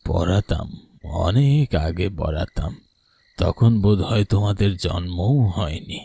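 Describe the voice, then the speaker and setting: A man voicing the menacing ghost of a village schoolmaster in a horror cartoon, speaking slowly and ominously. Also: sits low, expressive, gravelly, mellow, husky, exaggerated voice. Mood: quietly ominous. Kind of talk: dubbed horror cartoon dialogue. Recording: music under the voice.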